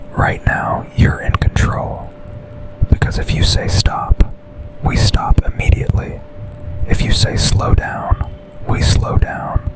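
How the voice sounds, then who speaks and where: A man playing a smitten, commanding yet tender boss and secret lover in an intimate ASMR roleplay, speaking in a breathy, seductive whisper. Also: close to an everyday voice, rough recording. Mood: earnest, reassuring. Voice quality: breathy, almost entirely unvoiced whisper. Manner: slow, deliberate, seductive, intimate.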